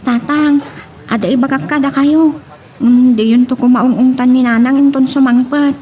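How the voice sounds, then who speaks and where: An actress as a young Ilocano daughter with a very high voice. She sounds dutiful and troubled, in audio drama style. There is some noise behind her. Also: steady tone, moderate pace, warm tone, close to an everyday voice. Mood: earnest, troubled.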